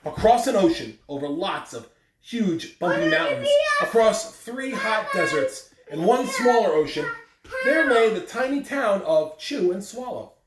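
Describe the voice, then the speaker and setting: A man talks to schoolchildren in a simple, direct way, as for morning announcements or a read-aloud. He is in an echoey room, a bit far from the microphone, with faint noise behind him. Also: high voice. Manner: addresses children simply and directly. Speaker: school staff announcer and story reader.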